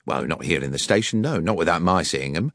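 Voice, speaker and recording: A man acts out a railway station worker for an audiobook. He is respectful and matter-of-fact, speaking in plain, clipped phrases. The voice sits fairly low and is recorded dry. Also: animated, fairly quick, vocal fry, a bit bright, dry recording, exaggerated voice. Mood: respectful, certain.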